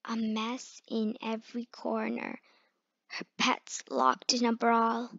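A woman reading a children's picture book aloud, sometimes halting over harder words. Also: on the high side, monotone, fairly bright tone. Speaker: read-aloud storyteller. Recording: muffled, echoey room recording.

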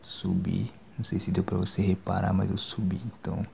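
Brazilian male, 20s, hushed ASMR barber, low-pitched with heavy vocal fry, casual delivery. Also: completely flat delivery, a little fast, noisy background. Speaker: ASMR creator playing a barber. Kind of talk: ASMR roleplay, soft-spoken and whispered. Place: small decorated indoor room. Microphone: handheld phone microphone up close.

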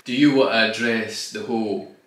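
A man's voice: on the low side, normal speed. Chatty Scottish man in a roomy studio. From Scotland. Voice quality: broad dialect slang, animated and chatty. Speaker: host. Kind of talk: casual chat. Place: home studio room.

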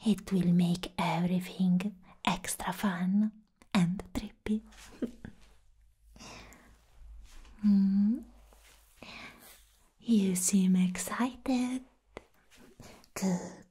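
Casting: woman as a giddy, menacing comic-book villainess for ASMR roleplay, very breathy whisper, unhurried teasing delivery. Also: medium pitch, steady tone, dark tone, exaggerated voice. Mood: playful, scheming. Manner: teasing, playful, drawn-out.

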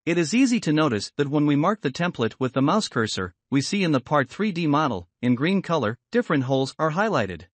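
Higher-pitched man narrating a software tutorial. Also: sing-song, a little fast, few pauses, dry recording. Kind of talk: scripted instructional narration.

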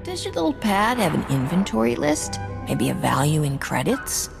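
A woman's voice: on the low side, sing-song, normal speed, vocal fry, over music, exaggerated voice. A woman playing a cocky, sarcastic smuggler in a video game, with a wry, sassy delivery. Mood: teasing.

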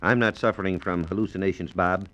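Low-voiced American 1940s radio science presenter, man. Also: fairly even intonation, quick pace, a bit bright. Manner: formal, carefully phrased, explanatory. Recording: dull lo-fi 1940s radio transcription disc.

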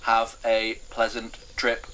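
A man's voice: mid-range voice, completely flat delivery, slow, bright, forceful tone, slight background noise, rough recording. A man voicing a talking television set in a storybook, clipped, stilted and emotionless like a machine. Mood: flat, abrupt.

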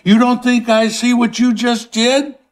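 A husky, menacing, domineering man. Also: lowish voice, expressive, unhurried, darkish tone. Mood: furious. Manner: menacing, confrontational. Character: domineering, paranoid, explosive male bunker captor. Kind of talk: film drama acting.

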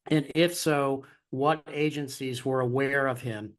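Older male security expert, deliberate analytical read, video call with headset. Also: medium pitch, steady tone. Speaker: retired counterterrorism agent, expert guest. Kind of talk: expert commentary. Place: home office.

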